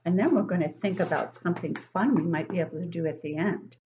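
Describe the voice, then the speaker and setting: An older woman reads a picture book aloud to young children like a teacher, expressively, pausing to ask them questions. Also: lowish voice, highs cut off, noisy background. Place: living room at home, indoors.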